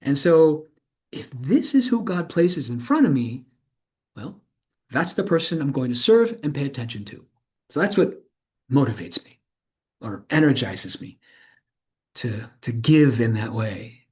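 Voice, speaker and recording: Actor as animated, earnest middle-aged businessman, reflective. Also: medium pitch. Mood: earnest, grateful. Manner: halting, searching, self-correcting delivery with emphatic swells. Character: earnest middle-aged former tech entrepreneur, devout and reflective man. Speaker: stage performer of a true-story monologue. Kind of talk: dramatized monologue in conversational interview manner.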